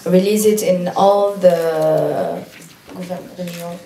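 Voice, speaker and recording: A woman's voice: on the low side, fairly even intonation, slow pace, mellow, slight background noise. A young woman with a Tunisian accent answering audience questions at a cinema Q&A, hesitant and searching for her English words, often laughing. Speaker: lead actress at a film Q&A. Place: cinema auditorium. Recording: echoey hall from a distant mic.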